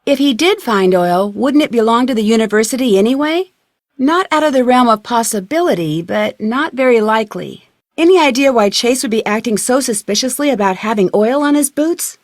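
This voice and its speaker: A woman playing a curious, confident young amateur detective in a video game, speaking in a clear, inquisitive, polite and self-assured way. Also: a little high, lively intonation, a little fast, dry recording, studio quality, lightly acted. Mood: curious, thoughtful.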